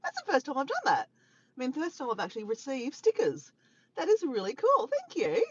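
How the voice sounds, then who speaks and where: A woman's voice: high voice, huge pitch swings, normal speed, breathy edge, highs cut off. An Australian woman live-streaming in casual chat, rambling and laughing as she reads out viewers' comments. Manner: chatty, rambling, laughs often, greets viewers.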